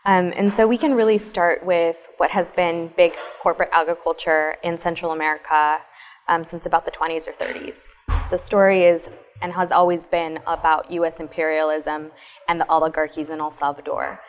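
A woman's voice: lowish voice, level tone, normal speed, slight background noise. A woman interview guest, a former city councilor, explaining history at length with frequent fillers in a cafe. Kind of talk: interview answers. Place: cafe, live recording.

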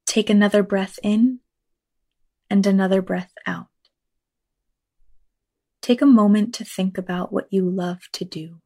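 A woman leading a guided meditation in a measured, unhurried manner, reading scripted lines with long pauses. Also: medium pitch, fairly even intonation, mellow. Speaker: meditation guide, actor and teaching artist.

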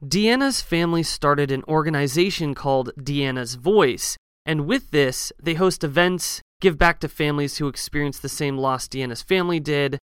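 A man co-hosting a true crime podcast, reading case details and listener shout-outs in a steady, informative way. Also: on the high side, normal speed, hardly any pauses, clear, resonant tone, no room sound, studio quality. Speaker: podcast co-host.

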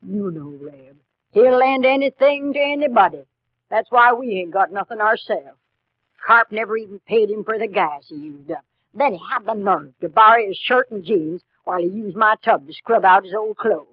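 High-voiced, plaintive backwoods wife. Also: male voice, moderate pace, dry recording. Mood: plaintive, complaining. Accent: American rural Western. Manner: chatty, complaining. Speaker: supporting actor. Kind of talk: 1950s radio western acting. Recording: muffled, dry 1950s radio recording.

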